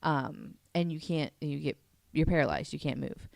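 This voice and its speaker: Casting: low-pitched woman, gravelly and smooth, chatty podcast panelist, dry mic. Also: moderate pace, a little mellow, dry recording. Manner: chatty, self-deprecating, many fillers. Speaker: podcast panelist presenting a topic. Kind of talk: casual podcast chat.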